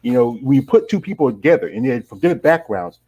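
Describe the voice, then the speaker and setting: A man's voice: medium pitch, very animated, fast, warm tone, husky, poor audio. A middle-aged man hosting a casual live-stream interview and reading out viewer comments, rambling and leaning on "you know" fillers. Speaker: host.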